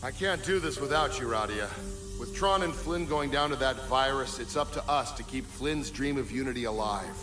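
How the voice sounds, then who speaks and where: Casting: man as measured, calculating game villain, mid-pitched, feigning sincerity, music behind. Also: lively intonation, lightly acted. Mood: falsely sorrowful. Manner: measured, solemn, persuasive. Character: calculating male villain ruler program posing as earnest ally. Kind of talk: video game cutscene acting.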